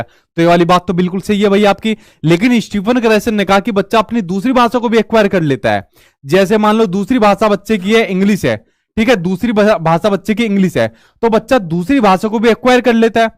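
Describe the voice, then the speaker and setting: A man with a notably high voice gives an informal exam coaching lesson, repeating every idea in Hindi and then in English terms. He keeps checking in with his listeners. The sound is dry and close, with no room echo. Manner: repetitive, restates each point in Hindi and English terms; addresses viewers as brother, checks with "theek hai". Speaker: exam prep teacher.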